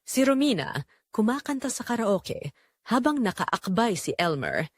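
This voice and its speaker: A woman's voice: on the high side, very animated, measured pace, no room sound, studio quality. A woman with a Filipino accent narrating a scripted dramatic story.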